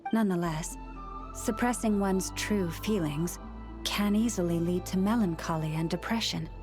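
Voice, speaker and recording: A woman voicing a gentle, solemn young priestess-like counselor in a video game, speaking in measured, formal phrases. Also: on the low side, natural voice. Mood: pensive. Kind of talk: video game cutscene voice acting. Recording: music under the voice.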